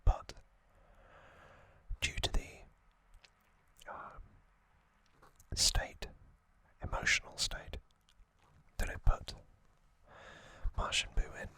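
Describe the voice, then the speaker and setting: A man delivers an ASMR recap entirely in a breathy whisper. His sound is very bright and sibilant. He moves slowly, in short halting phrases, as he narrates trivia. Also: dry recording. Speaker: ASMR host.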